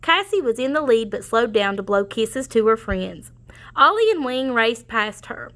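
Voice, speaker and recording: A woman narrates a picture book for young children. Her voice is bright and on the high side, and she adds explanatory asides as she reads. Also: sing-song, normal speed. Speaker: storybook reader. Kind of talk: children's read-aloud narration.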